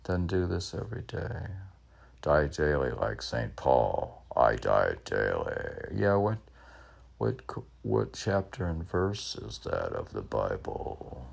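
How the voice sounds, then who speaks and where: A man in his sixties rambling alone between lines of his own poetry, halting, questioning himself and repeating phrases. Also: extremely low pitch, very animated, gravelly. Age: 60s. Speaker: poet reading own work and commenting. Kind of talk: rambling solo monologue mixed with poem reading.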